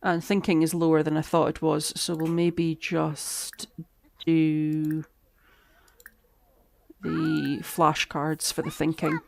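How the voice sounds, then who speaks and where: A woman, chatting through a live-streamed game, a little hoarse and talking to her characters. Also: sits low, monotone, takes it slowly, darkish tone, slight background noise. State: slightly hoarse, losing her voice. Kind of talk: live-stream gameplay commentary.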